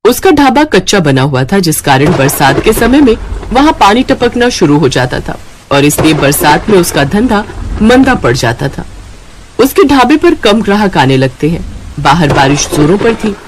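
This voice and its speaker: A woman reads a story as an expressive narrator. Her pitch swings in a lively way, with faint noise behind her. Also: normal speed.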